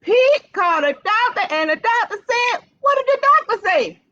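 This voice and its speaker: A woman hosting storytime for young children, reading aloud in an animated, sing-song way and putting questions straight to the kids. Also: very high voice, moderate pace. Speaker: library storytime host for children. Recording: dull, lo-fi livestream sound.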